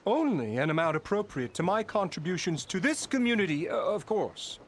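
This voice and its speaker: Pompous, self-important boss; slick and flattering, pitch rides high and all over. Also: male voice, normal speed, slight background noise. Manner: grandiose, flattering, slyly evasive. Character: pompous blustering middle-aged male labor boss. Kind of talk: video game dialogue.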